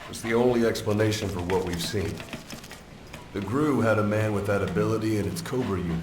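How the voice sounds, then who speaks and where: An actor playing a sombre military officer in a video game cutscene, speaking in measured, clipped phrases. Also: male voice, fairly low pitch, echoey room, distant mic, noisy background, natural voice. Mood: grave, guarded. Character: sombre male military intelligence officer explaining a strange prisoner.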